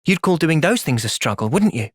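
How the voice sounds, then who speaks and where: A man with an English accent voicing an earnest, thoughtful young soldier hero in a fantasy video game, speaking in a measured, reflective way. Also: on the high side, sing-song, slightly rough, no room sound, studio quality. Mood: calm, thoughtful. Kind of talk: video game cutscene acting.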